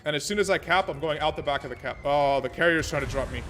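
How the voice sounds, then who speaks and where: An American man streaming a naval battle game, thinking aloud about tactics and chatting with viewers in a relaxed way. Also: a little high, fast. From American, born in Germany. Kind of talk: live gameplay commentary and chat. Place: Vienna home setup.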